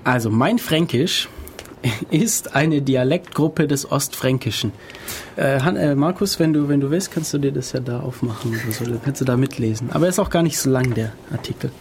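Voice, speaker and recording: Man reading aloud haltingly. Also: medium pitch, a little hiss or hum. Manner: reads aloud haltingly, stumbles over words. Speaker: podcast host. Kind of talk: casual podcast chat, reading aloud.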